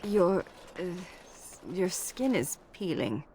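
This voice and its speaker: Game role: fastidious companion; formal, politely repelled, highly varied pitch. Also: female voice, big pitch swings, a little mellow, slight background noise, full character voice. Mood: polite disgust. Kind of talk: video game voice acting.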